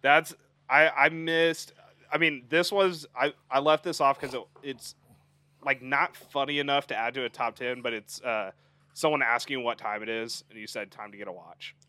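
A man's voice: mid-range voice, steady tone, normal speed, sharp tone. A man in his twenties co-hosting a podcast, chatting casually in a playful way and laughing through his talk. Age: 20s. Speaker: podcast co-host. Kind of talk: casual chat.

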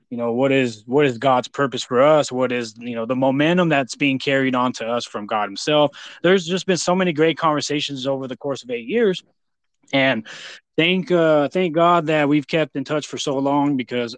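American man, podcast host, smooth and clear, dry close sound, effusive, rambling, casual. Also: mid-range voice, dry recording. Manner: effusive praise, rambling, repeats "you know". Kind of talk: casual interview chat.

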